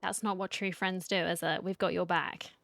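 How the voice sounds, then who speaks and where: A woman's voice: lowish voice, little pitch movement, moderate pace, gravelly, a bit bright, no room sound. A woman gamer giving casual, chatty reaction commentary, talking back to the game's characters. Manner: chatty asides to game characters. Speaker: gaming content creator.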